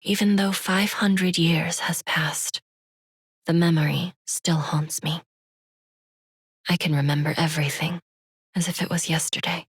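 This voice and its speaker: An actress voicing a grown half-demon woman who solemnly narrates memories of her childhood in a hushed, breathy, wistful way. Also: fairly low pitch, steady tone, unhurried, pauses often, dry recording, heavily acted. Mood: haunted. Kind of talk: scripted dramatic narration. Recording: music behind the voice.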